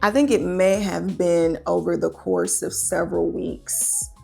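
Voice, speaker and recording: A woman's voice: lowish voice, fairly even intonation, a little slow, vocal fry, darkish tone, breathy edge, faint music behind. A woman relationship coach telling a personal story in a conversational, confessional way, often putting questions to her listeners.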